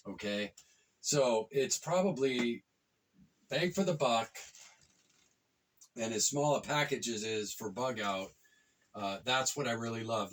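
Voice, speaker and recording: Male vlog reviewer, lowish voice, chatty and repetitive with keen emphasis, recorded slightly off-mic. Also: a bit bright, far from the mic. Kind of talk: casual product review vlog.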